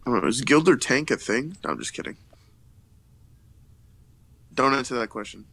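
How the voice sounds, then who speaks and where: A man's voice: medium pitch, expressive, normal speed, sharp tone. A man co-hosting a livestream, tossing in teasing, joking remarks in casual banter. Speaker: stream co-host.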